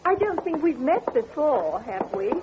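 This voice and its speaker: High, gushing young showgirl, old radio. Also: big pitch swings, measured pace. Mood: coy, gracious. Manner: gushing, coy, theatrical. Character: young theatre singer, girlishly ingenuous but shrewd. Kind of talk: 1940s radio acting. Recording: dull, noisy old radio recording.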